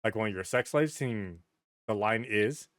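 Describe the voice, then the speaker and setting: A man chatting casually as a podcast host, telling stories in a rambling, excitable way and swearing freely. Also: on the low side, moderate pace, dry recording, studio quality.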